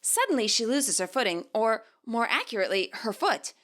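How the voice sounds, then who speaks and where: A woman narrates a scripted story of her own. Her voice is bright and forceful, on the high side, and moves expressively up and down as she reads. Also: big pitch swings, normal speed. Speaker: author and narrator.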